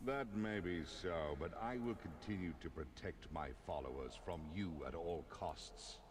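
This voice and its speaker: A righteous, authoritative fantasy game god, in a low voice. He is proud and pompous, with clearly audible noisy ambience behind him. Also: measured pace. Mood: accusing. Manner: pompous, lordly. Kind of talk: fantasy video game voice acting.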